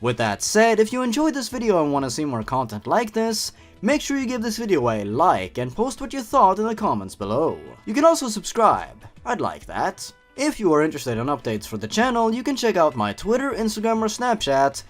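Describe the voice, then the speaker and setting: A man's voice: sits high, huge pitch swings, a little fast, few pauses, soft background music. A man hosting a review show, giving scripted, opinionated commentary with sarcastic asides. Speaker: host of a horror story review channel. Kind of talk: scripted review commentary with story read aloud.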